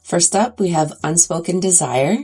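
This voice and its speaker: A woman talks through her makeup swatches in a chatty, excited way, her voice stuffy from congestion. Her tone is low, mellow and a little husky, with faint music playing behind her. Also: moderate pace. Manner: chatty, enthusiastic about swatches. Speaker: beauty vlogger. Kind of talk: casual makeup review.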